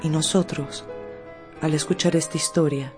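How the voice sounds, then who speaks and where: A woman narrates a radio novel in a measured, dramatic storytelling manner with weighted pauses. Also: deep voice, steady tone. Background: music.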